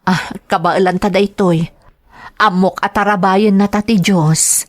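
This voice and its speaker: A woman's voice: mid-range voice, steady tone, unhurried, no echo, natural voice. A woman with an Ilocano Filipino accent playing a weary, devoted mother in an audio drama. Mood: weary, resolute. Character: weary, devoted widowed mother addressing her child.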